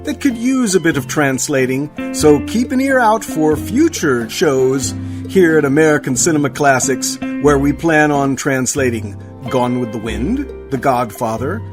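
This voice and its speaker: Woman announcer over guitar music. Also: low-pitched. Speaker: announcer reading the closing credits. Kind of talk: scripted radio show outro. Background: plucked guitar music.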